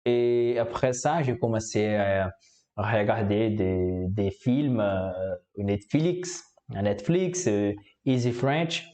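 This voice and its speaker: A man from Brazil talks through how he learned French, his Brazilian Portuguese accent plain in every word. He speaks slowly, stopping often on fillers and repeating words as he searches for them. His voice is dark and mellow. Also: on the low side. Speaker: language learner. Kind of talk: casual explanatory talk.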